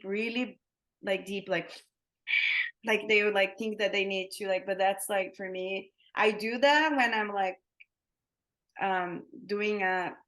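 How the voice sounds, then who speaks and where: A woman's voice: medium pitch, fairly bright tone. A woman who teaches yoga and Thai massage, talking casually as a guest in an interview, with many fillers and restarts.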